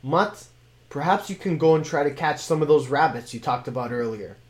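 A man's voice: on the high side, expressive, a little fast, close to an everyday voice. Man voicing a wry talking sword. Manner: formal, wry, commanding. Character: talking sword, former male blade master, wry.

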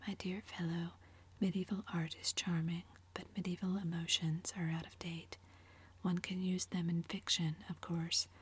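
A woman whispering the lines of a languid, witty aristocratic gentleman in a storybook reading, in a low, flat hush. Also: slightly rough. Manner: whispered, epigrammatic, drawling.

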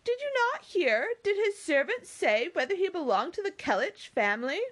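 A woman voicing an excitable, self-important young gentlewoman who gushes in exclamations, in a novel reading. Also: extremely high pitch, normal speed, voice pushed up. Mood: excited, astonished. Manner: gushing, exclamatory.

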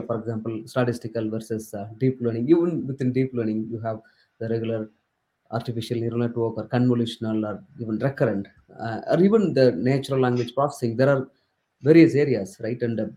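Soft-toned Tamil-speaking man, Indian accent. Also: medium pitch. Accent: Indian English. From southern India. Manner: halting, many fillers and restarts. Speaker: guest author. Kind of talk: casual interview chat.

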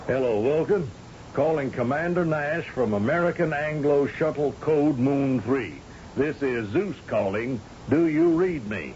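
An actor playing a commanding, folksy governor of a space colony who questions shrewdly, in an old-time radio drama. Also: male voice, medium pitch, lively intonation, measured pace, darkish tone, natural voice. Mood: brisk. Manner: folksy, probing, patronizing. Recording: dull, noisy old radio recording.

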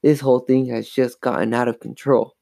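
A man's voice: mid-range voice, fairly even intonation, brisk, gravelly, warm tone, no echo, voice pushed up. A man voicing a stern, exasperated mother in a children's horror story, scolding with emphasis. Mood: stern, accusing.

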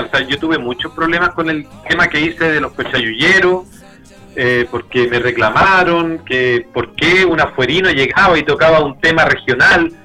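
A Chilean man with a forward, bright voice that sits a little high speaks as a guest in a casual interview. He is colloquial and candid, pausing to reflect as he goes. Faint music plays behind him. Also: poor audio. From Santiago, Chile.

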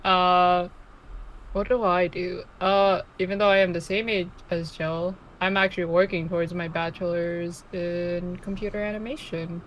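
A woman in her early twenties, co-hosting a casual podcast, speaking softly with hesitant fillers. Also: on the low side, little pitch movement, measured pace, highs cut off, slight background noise. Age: 20s. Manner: soft-spoken, hesitant, lots of fillers. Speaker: podcast co-host. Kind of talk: casual chat.

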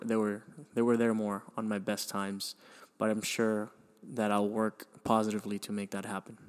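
A young man from Venezuela talks through his own story on his podcast. His voice sits low and keeps to a narrow, level pitch. He pauses, sighs and restarts often as he thinks aloud. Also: a bit bright, clear, resonant tone. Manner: hesitant, many restarts and fillers, sighs. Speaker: podcast host. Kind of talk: reflective personal storytelling.

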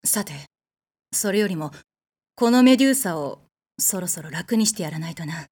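A woman playing a haughty, quick-tempered warrior goddess in an audio drama, with blunt, commanding, masculine phrasing. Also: fairly low pitch, lively intonation, a little mellow. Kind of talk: anime-like audio drama acting with narration.

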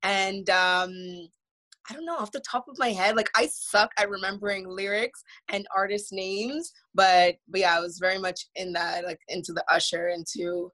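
A woman in her thirties in a casual video-call discussion, lively and playful. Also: mid-range voice, normal speed. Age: 30s. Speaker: panelist.

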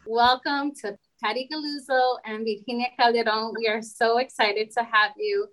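Enthusiastic higher-voiced woman interviewer. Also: fairly even intonation, moderate pace, fairly bright tone, poor audio. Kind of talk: warm podcast interview.

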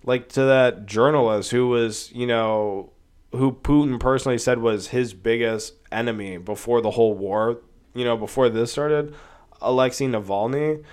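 A man hosts his own podcast while sick with a cold, sniffling and coughing now and then. He rambles and swears, with lots of fillers. His voice sits at an ordinary middle pitch. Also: moderate pace, darkish tone, clean tone. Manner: rambling, profane, with many fillers. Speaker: podcast host. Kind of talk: casual solo podcast commentary.

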